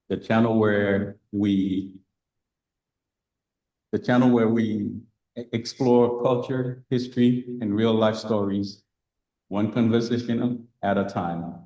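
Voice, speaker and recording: A man hosting an interview, reading his introduction and asking questions in a measured way. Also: on the low side, fairly even intonation, warm tone, reverberant, low-quality recording. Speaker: host.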